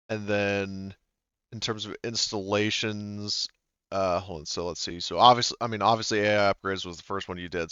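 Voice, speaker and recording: A man's voice: lowish voice, a bit bright, clear, resonant tone, no echo, lo-fi sound. Hesitant man running a game. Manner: hesitant, thinks aloud with many fillers. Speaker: game master. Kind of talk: running a tabletop game session.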